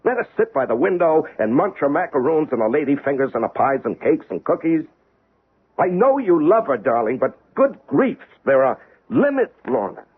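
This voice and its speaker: A very raspy, exasperated husband. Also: male voice, on the high side, very animated. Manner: emphatic, halting, pleading. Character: exasperated, concerned middle-aged husband, pleading and protective. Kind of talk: 1970s radio drama acting. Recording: dull 1970s radio drama recording.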